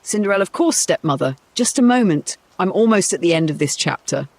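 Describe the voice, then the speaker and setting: A man voicing a gentle, wistful, bookish young stepdaughter in a storybook. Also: sits high, expressive, fairly quick, dry recording. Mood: obliging. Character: dreamy, obedient, bookish stepdaughter, young girl.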